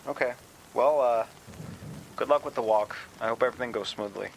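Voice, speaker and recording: A man playing an awkward, apologetic young friend on the phone in an audio drama, speaking in a halting, hesitant way. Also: mid-range voice, creaky, slight background noise, lightly acted, low-quality recording.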